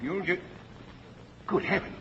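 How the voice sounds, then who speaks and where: An actor playing a blithe, crusty family doctor, folksy and casual, in a 1950s radio comedy. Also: male voice, measured pace, close to an everyday voice. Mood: flustered, testy. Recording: muffled, noisy old radio broadcast.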